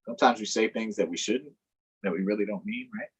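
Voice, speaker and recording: A man's voice: lowish voice, brisk, breathy edge. A man hosting a storytime, reading to children and adding playful asides to the listeners. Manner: playful, addresses young listeners. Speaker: storytime host, reader. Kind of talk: animated children's read-aloud with asides.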